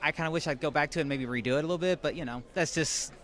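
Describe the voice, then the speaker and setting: Laid-back woman with a lowish voice. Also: racing. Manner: laid-back, laughs at herself. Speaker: cosplayer guest. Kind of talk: casual interview answers. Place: at a fan convention.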